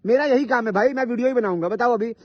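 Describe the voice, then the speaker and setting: A man's voice: fast, no room sound. A man in a heated argument on a recorded phone call, confrontational and repetitive, often cutting in and swearing. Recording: muffled, low-fidelity phone call recording.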